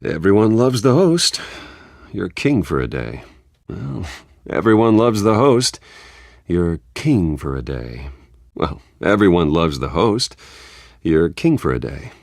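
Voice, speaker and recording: A smooth rich playboy for a video game, super deep voice, flirty n relaxed, dry studio sound. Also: male voice, takes it slowly, no echo, heavily acted. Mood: playful, charming. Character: suave, charming billionaire socialite man, playfully informal. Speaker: game voice actor. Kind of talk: polished game dialogue acting.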